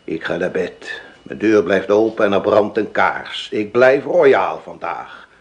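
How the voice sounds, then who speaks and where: A sly, low-voiced old village boss. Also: male voice, lively intonation, a little slow. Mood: grave, knowing. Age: older. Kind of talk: radio drama acting.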